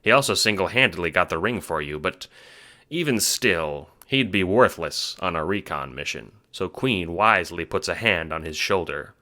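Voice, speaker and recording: A man narrates an audio drama in a very low, bright and forceful voice. The delivery is scripted storytelling, addressed to the listener as the main character. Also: lively intonation, normal speed.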